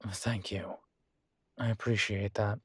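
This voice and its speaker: A man playing a vain, seductive yet politely awkward incubus demon professor in an intimate audio roleplay, speaking hesitantly with drawn-out phrasing. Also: lowish voice, little pitch movement, slightly rough, dry recording, studio quality, heavily acted. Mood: apologetic. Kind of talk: intimate audio roleplay, one-sided conversation.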